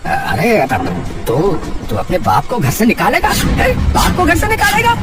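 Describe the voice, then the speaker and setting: A mean, middle-aged cartoon father played by a man with an extremely high, swooping voice. He blusters and splutters over loud music and crowd noise. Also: huge pitch swings, unhurried, full character voice. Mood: defensive, outraged. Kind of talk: exaggerated cartoon villain dialogue.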